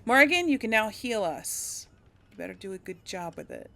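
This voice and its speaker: A woman's voice: medium pitch, very animated, moderate pace, gravelly, sharp tone. A woman giving casual game commentary as a let's play host, chatting and thinking aloud. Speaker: let's play host, role-playing a character.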